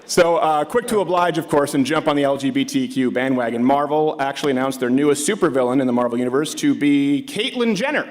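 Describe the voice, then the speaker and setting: A man's voice: medium pitch, fast, few pauses. A middle-aged man hosting a live comedy talk show, riffing sarcastically before a crowd. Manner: sarcastic, riffing, mocking voices.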